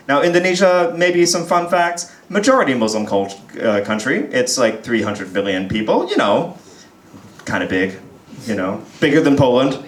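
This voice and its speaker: A man's voice: medium pitch, slight background noise. Young man doing stand-up in a pub. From United States (Texas). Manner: chatty, self-deprecating, often breaks into laughter. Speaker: stand-up comedian. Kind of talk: stand-up comedy set. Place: pub comedy stage. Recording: roomy pub sound with faint crowd murmur.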